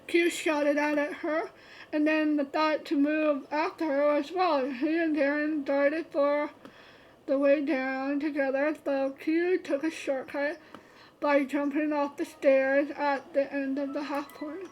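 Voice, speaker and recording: A woman's voice: high voice, flat delivery, slow, rough recording. A woman streamer reading a visual novel aloud, stumbling and restarting often and adding chatty asides. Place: streaming setup.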